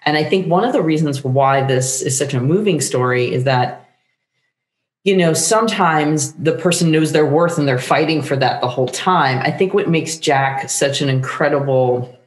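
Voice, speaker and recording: A middle-aged woman talking casually as an interview guest, animated, with meandering, halting phrasing. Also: low voice. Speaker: guest playwright. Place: book-lined room at home, indoors. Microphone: shared desktop microphone on video call. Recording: roomy home recording.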